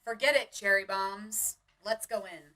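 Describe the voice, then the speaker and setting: A woman's voice: medium pitch, steady tone, measured pace, bright, forceful tone, recorded from a distance, faint music behind. A woman playing a brusque, jaded young city roommate in a motion comic, curt and bossy. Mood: exasperated.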